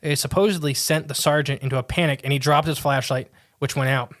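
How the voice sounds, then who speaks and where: A man's voice: a little high, fairly even intonation, fairly quick, fairly bright tone. A man co-hosting a podcast, reading a strange tale aloud with casual asides, often tripping over words and restarting. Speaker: podcast co-host.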